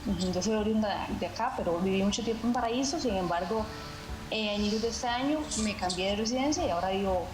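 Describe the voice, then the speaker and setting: A woman's voice: mid-range voice, music in the background. Costa Rican woman on an echoey video call. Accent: Costa Rican Spanish. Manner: measured, fills pauses with "¿verdad?". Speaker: mountain runner guest. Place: at home.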